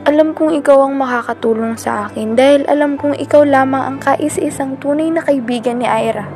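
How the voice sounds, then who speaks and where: High-pitched grieving aunt played by a woman. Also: fairly quick, music in the background. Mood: grieving, determined. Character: grieving aunt seeking revenge for her niece. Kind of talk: amateur student radio drama acting.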